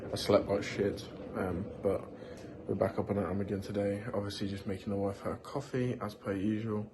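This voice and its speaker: A man's voice: on the low side, little pitch movement, normal speed, noisy background. A 21-year-old man, talking candidly and rambling in a casual vlog diary while ill with a sore throat and sniffles. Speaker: vlogger.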